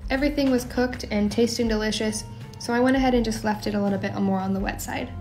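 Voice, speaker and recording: A young woman with a slightly high voice walks through a recipe in a relaxed, chatty way, adding small asides as she goes. She sounds like she's recording in her own home kitchen, with soft music playing underneath. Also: quick pace. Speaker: home cooking show host. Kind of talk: casual cooking voice-over.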